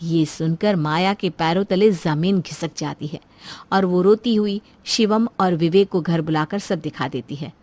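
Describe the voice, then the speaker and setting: A woman's voice: on the low side, measured pace, darkish tone. A woman storyteller reading a scripted moral story as narration.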